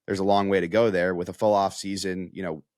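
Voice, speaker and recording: Low-voiced man talking football casually. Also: little pitch movement, brisk, darkish tone, no echo, studio quality. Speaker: football analyst and reporter. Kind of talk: casual sports podcast discussion.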